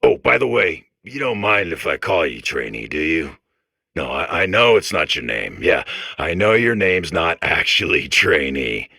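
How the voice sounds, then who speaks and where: Gravelly, chummy, condescending robot buddy. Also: male voice, deep voice, very animated, measured pace, bright, forceful tone, no room sound, clean studio sound, exaggerated voice. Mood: chummy condescension. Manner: rambling, casual, insistently earnest. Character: overeager chummy robot core, backhandedly condescending man. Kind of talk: comedic video game character acting.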